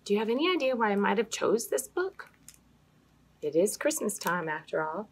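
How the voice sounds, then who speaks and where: An American woman, likely in her thirties, hosts children's storytime indoors with an expressive, sing-song delivery, speaking to young children. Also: mid-range voice, moderate pace, slightly rough. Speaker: children's library storytime host. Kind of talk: children's storytime, interactive games and picture book reading. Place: small indoor room hung with fabric drapes.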